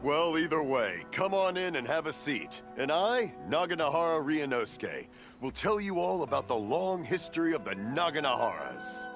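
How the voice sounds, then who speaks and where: A cheery deaf old fireworks maker. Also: male voice, fairly high pitch, big pitch swings, moderate pace, hardly any pauses. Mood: proud. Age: older. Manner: jovial, mishears and rambles. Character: cheerful elderly hard-of-hearing fireworks maker father. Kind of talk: video game voice acting. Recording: game cutscene with music behind.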